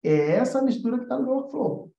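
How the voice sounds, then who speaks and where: A man's voice: very high-pitched, animated, fairly quick, vocal fry, warm tone. A man with a Brazilian Portuguese accent giving an informal live-stream lesson as an animation teacher, explaining emphatically and repeating points for emphasis. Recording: roomy echo, slightly dull sound.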